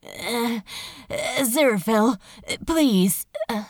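A woman's voice: a little high, expressive, takes it slowly, no echo, clean studio sound. A woman voicing an uneasy, baffled male demon with a hesitant drawl, in a storybook reading. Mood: pleading.

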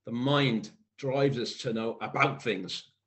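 A man from South Wales with a faint Welsh accent, a poet guest talking slowly and thoughtfully, often pausing and restarting to find the right word. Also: mid-range voice, a bit bright. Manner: thoughtful, hesitant, searching for words, many restarts. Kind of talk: reflective conversational interview.

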